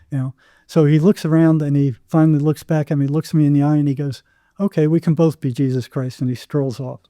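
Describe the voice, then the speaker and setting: A man's voice: a little high, dark tone, no echo. An older American man telling anecdotes casually as an interview guest, acting out bits of dialogue as he goes.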